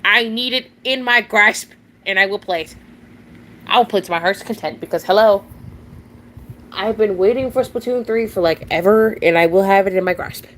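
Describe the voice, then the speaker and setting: A young woman of nineteen talks casually on a live stream, rambling, restarting sentences and giggling between thoughts. Her voice sits at an ordinary middle pitch. A faint hiss of noise runs underneath. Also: moderate pace, fairly bright tone. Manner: rambling, chatty, answers chat, frequent giggles and restarts.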